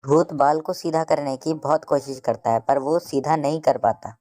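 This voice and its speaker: Very deep-voiced woman narrating a cartoon. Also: moderate pace, mellow, dry recording. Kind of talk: scripted cartoon story narration.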